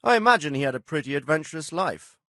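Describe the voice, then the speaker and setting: An actor playing a stern, dry-witted middle-aged medieval lord in a video game, speaking with formal, measured authority and a wry, sardonic edge. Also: male voice, on the high side, expressive, fairly bright tone, dry recording. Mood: informative. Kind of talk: video game dialogue acting.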